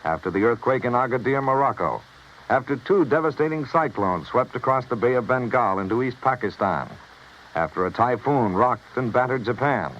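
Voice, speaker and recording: A man's voice: medium pitch, expressive, normal speed, fairly bright tone. An American man reading solemn scripted narration in the style of a mid-century radio public service message. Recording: muffled old radio broadcast, faint noise.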